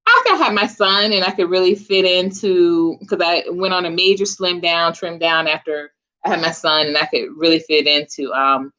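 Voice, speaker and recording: A woman in her forties with a bright, forward voice, talking to viewers of her live stream. She is chatty and informal, addressing the listeners directly in a loose, conversational way. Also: fairly low pitch, moderate pace, dull audio. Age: 40s. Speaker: host of a live chat stream. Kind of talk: casual live chat with viewers.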